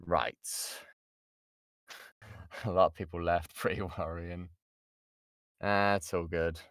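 A man's voice: low voice, level tone, takes it slowly, fairly bright tone, no room sound. A British man giving an informal lesson as a voice actor, chatty and jokey, often interrupting himself, speaking close to the mic on an online call. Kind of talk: informal lesson with audience questions.

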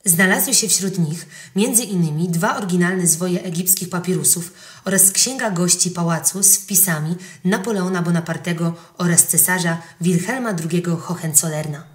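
A woman's voice: on the low side, normal speed. A woman reading a scripted history podcast narration. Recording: roomy, echoing voice recording.

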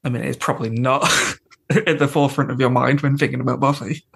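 A man's voice: mid-range voice, fairly even intonation, a little fast, gravelly, husky. A man appearing as a podcast guest, casually discussing a television episode.

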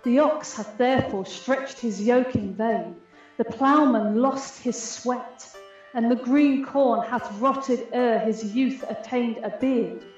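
A woman's voice: a little high, a little mellow. A woman playing a proud, aggrieved fairy queen in a Shakespearean radio play, speaking stately, declamatory verse. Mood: indignant. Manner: stately, measured, declamatory delivery. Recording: heavy reverberant echo, muffled, music behind. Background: music.

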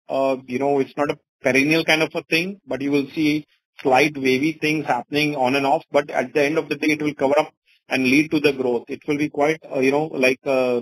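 A man's voice: medium pitch, slightly rough, rough recording. A man with an Indian accent answering investors as a company executive on an earnings call, wordy and often saying "you know".

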